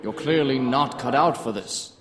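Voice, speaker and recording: A man's voice: medium pitch, moderate pace, natural voice. Man acting a harsh father in long reverb. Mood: exasperated. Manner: scolding, impatient. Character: harsh, disapproving, strict father. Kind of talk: dramatic voice acting in an audio drama. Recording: long reverb, ambient noise.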